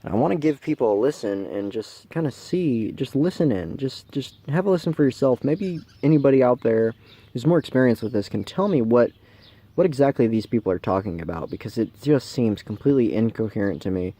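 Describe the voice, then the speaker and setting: A man's voice: mid-range voice, lively intonation, fairly quick, mellow. A man with an American accent narrating a casual vlog in a hesitant, informal way. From Kansas, United States. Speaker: amateur video maker, CB radio newcomer.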